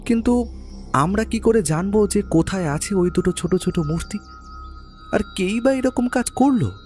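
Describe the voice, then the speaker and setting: An actor playing a detective's eager companion, a man with a husky voice, narrating their case expressively in an audio story. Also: high-pitched, lively intonation, moderate pace, a little mellow, soft background music. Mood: curious. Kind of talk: dramatised first-person story narration.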